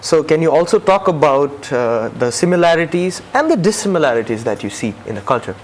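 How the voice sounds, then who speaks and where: A man's voice: a little high, very animated, a little fast. A young man hosting a casual student interview, friendly and inviting with short prompts to his guests. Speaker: host.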